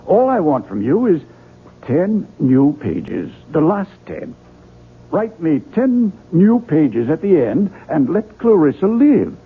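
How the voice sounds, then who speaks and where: Raspy, gravelly, effusive publisher man. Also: fairly high pitch, very animated, slow pace, heavily acted. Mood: coaxing. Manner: theatrical, coaxing, emphatic. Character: persuasive, effusive male book publisher, avuncular and insistent. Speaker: radio drama actor. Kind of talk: 1970s radio drama acting. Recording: muffled, narrow-band vintage radio recording.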